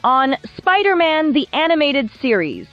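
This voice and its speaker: Casting: female video essay narrator, wry and polished, lively high voice, recorded dry over background music. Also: measured pace, highs cut off. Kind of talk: scripted documentary narration.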